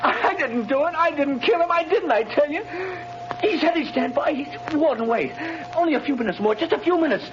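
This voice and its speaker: An actor playing a terrified condemned prisoner in a 1930s radio drama, pleading frantically. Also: male voice, very high voice, animated, fairly quick, noisy background. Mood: panicked pleading. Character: terrified condemned male prisoner facing execution. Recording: muffled 1930s radio broadcast.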